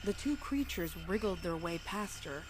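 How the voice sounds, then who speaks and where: Lower-pitched woman reading a story. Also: lively intonation, normal speed, music in the background. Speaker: fan fiction reader and reactor. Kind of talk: storybook narration with casual reaction asides. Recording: faint background noise.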